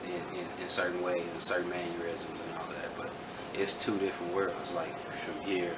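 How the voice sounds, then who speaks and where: Young man for a relaxed interview, very low voice, roomy echo, mic far off. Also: moderate pace, very noisy. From Houston, Texas. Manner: laid-back, rambling with frequent restarts and fillers. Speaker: rapper guest. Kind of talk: casual interview chat.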